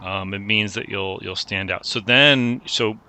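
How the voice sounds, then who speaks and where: A man hosting a career advice chat, coaching a student in a relaxed way and often restarting his phrases. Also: on the low side, unhurried. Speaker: host giving career advice. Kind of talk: conversational mentoring chat.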